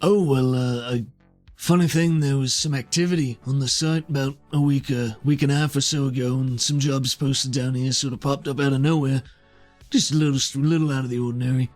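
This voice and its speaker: A man playing a large, easygoing man in a tabletop game, hesitant and gently teasing as he talks. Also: mid-range voice, fairly even intonation, brisk, soft background music, lightly acted. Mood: sheepish, explaining. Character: Isaac, large square-built man, easygoing wry backup. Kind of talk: improvised tabletop roleplay.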